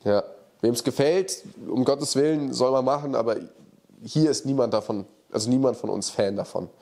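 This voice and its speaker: A man's voice: mid-range voice, moderate pace, warm tone. A man in his thirties with a slight cold and nasal voice, bluntly answering viewer questions in a casual chat, recorded on a lapel mic in an indoor office. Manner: blunt, colloquial, frank verdicts. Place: indoor workshop office, seated in armchair.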